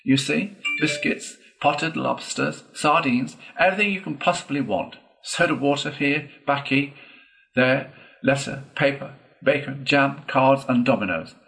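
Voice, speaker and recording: A man voicing a boastful, excitable gentleman toad in a storybook, declaiming in a pompous, gushing way. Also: mid-range voice, a little slow, voice pushed up, low-quality recording. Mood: excited, boastful.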